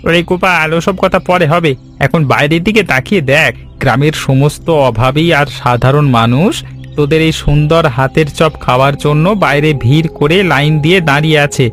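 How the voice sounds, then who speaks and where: A man's voice: a little high, fairly quick, a bit bright, faint music behind, natural voice. A man voicing a humble, eager village friend in a cartoon story, with an effusive, folksy manner. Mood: urgent, excited. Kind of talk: Bengali animated story voice acting.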